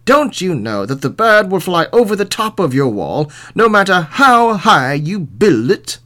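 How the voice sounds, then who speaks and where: Man playing an emphatic, drawn-out, scolding sheriff. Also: medium pitch, wildly swooping pitch, heavily acted. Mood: exasperated. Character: stern, incredulous sheriff questioning foolish townsmen.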